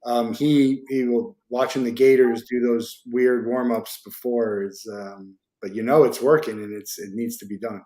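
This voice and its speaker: Host, man in his 40s, mid-pitched, relaxed, rambles and hesitates when asking. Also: normal speed, few pauses, echoey room. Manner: easygoing, rambling questions with hesitations. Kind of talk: casual interview chat.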